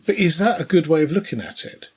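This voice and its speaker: A middle-aged or older British man co-hosting a casual podcast, telling anecdotes in a measured way with wry asides. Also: fairly high pitch, expressive, breathy edge. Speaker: podcast co-host.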